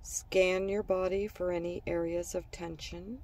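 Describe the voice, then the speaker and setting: A woman leads a children's guided relaxation, reading from a script. Her voice is mellow and soft-edged, and she speaks slowly and soothingly in short, separated phrases. She sounds a little away from the microphone. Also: on the low side, flat delivery, distant mic. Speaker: guided meditation narrator.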